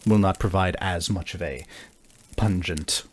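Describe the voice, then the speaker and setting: A man voicing a formal, measured negotiator in a tabletop game, choosing words deliberately and haltingly. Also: low-pitched. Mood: solemn, cautious.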